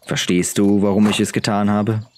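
A man voicing a solemn, stern but kindly noble father who teaches gravely, in a fantasy audiobook. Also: on the low side, level tone, normal speed, background noise, lightly acted. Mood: gently probing. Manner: grave, instructive.

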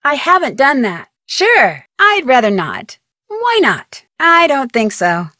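A woman's voice: high voice, wildly swooping pitch, slow, bright, forceful tone, no echo, clean studio sound, full character voice. A young woman voicing an inquisitive, plucky amateur detective in a video game, talking conversationally as if on the phone. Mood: casual.

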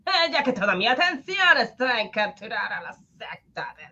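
A woman's voice: a little high, big pitch swings, moderate pace, sharp tone, muffled recording, exaggerated voice. A woman playing an impatient, scolding male police colonel in an amateur comic-book radio drama. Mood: blustering. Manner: exclamatory, scolding, theatrical.

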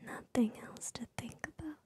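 A young woman giving soothing ASMR affirmations in a soft, breathy whisper, gentle and repetitive, very close to the microphone. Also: moderate pace. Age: young adult. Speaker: ASMR host. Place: small bedroom with gaming setup, indoors. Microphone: large microphone very close to the mouth.

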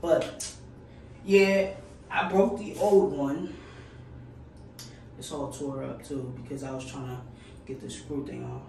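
A woman's voice: a bass voice, fairly even intonation, slow, creaky, pauses often. A young woman talking casually to camera in an informal, matter-of-fact way. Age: young adult. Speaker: vlogger. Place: small tiled home bathroom. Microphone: camera a few steps away. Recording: echoey bathroom, distant mic, background noise.

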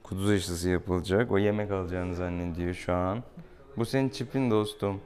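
Low, mellow man, playfully affectionate. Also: steady tone, normal speed. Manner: affectionate, teasing, sweet-talking the animal. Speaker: veterinarian presenting a clinic video. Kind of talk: casual playful chat with a pet and viewers. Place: small indoor veterinary exam room. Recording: clear room noise behind.

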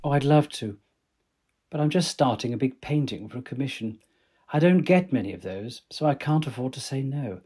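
A husky-voiced Victorian painter in his forties, acted by a man, for a period radio drama. He is hearty and chummy, talking like an old pal in dated gentlemanly slang. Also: medium pitch, expressive, normal speed. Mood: apologetic. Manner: chummy, old-fashioned upper-class slang. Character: jovial forty-year-old Victorian male painter, hearty chum.

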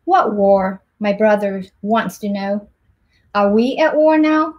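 A middle-aged woman from Myanmar on a video call from her home office, introducing and reading her poems in a measured, soft-spoken way. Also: mid-range voice, lively intonation, dull audio. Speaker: poet.

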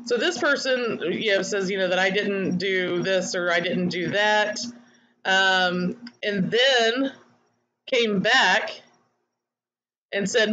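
A woman's voice: mid-range voice, fairly bright tone, a little hiss or hum, rough recording. Candid woman coaching a webinar. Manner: candid, chatty, reads out attendees' comments. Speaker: online marketing coach. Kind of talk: webinar teaching.

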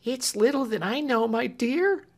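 Homely fluttering maidservant, high-pitched and earnest, put on by a male reader. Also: lively intonation, measured pace, full character voice. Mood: pleading. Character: flustered motherly servant woman, homely.

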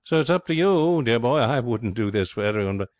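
A man's voice: mid-range voice, expressive, a little fast, no echo, studio quality, pitched above the performer's own voice, natural voice. A man voicing a breezy, self-satisfied young schemer in a comic story, florid and cajoling with cheerful pomposity. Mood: breezy urging. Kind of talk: storybook character voice in comic fiction reading.

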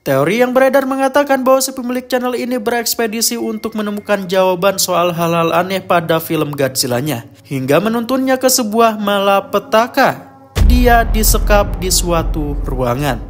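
A man's voice: sits high, lively intonation, normal speed. A man narrating a scripted horror explainer as host, with dramatic storytelling delivery. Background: music behind the voice.

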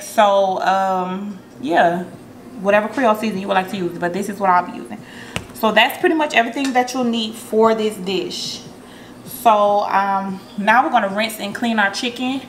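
A woman hosting a relaxed cooking tutorial in her home kitchen, chatting to her viewers with plenty of ums as she works. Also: mid-range voice, a little hiss or hum. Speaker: cooking vlogger.

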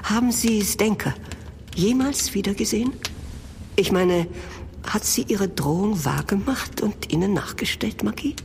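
An actress playing a courteous, curious princess in a German audio drama, speaking in a refined, polite manner. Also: female voice, mid-range voice, measured pace, creaky, a little mellow, slight background noise.

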